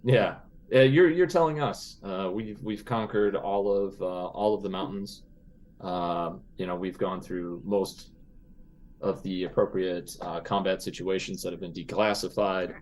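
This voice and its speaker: A man playing a tabletop role-playing game in casual improvised speech, haltingly mulling things over aloud. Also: low-pitched, fairly even intonation, darkish tone, a little hiss or hum.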